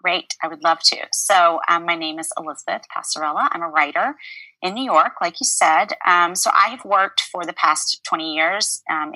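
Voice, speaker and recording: A woman in her forties from Memphis, a writer talking as a podcast guest over a video call, chatty and pausing often with ums. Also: on the low side, sharp tone, no room sound, rough recording. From Memphis, Tennessee. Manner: chatty, hesitant with many ums. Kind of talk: interview conversation.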